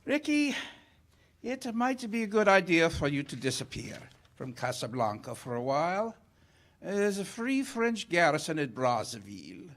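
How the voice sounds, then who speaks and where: A man's voice: a little high, sing-song, measured pace, a bit bright. A man playing a wry, urbane, opportunistic police captain in 1940s radio drama style. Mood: sly, friendly.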